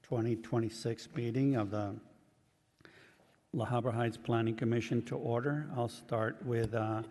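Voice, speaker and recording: A man's voice: medium pitch, fairly even intonation, a little mellow. Man with a Cuban accent chairing a meeting. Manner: plain, unhurried, halting with fillers. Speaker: planning commission chair. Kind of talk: running a public meeting. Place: public meeting chamber. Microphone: desk microphone up close. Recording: long hall reverb, faint room noise.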